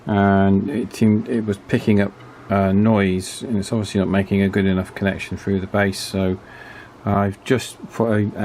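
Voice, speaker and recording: A man giving a casual, chatty hands-on repair commentary in an unhurried way, as if thinking aloud. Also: fairly low pitch, a little hiss or hum. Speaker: radio repair hobbyist presenting his work.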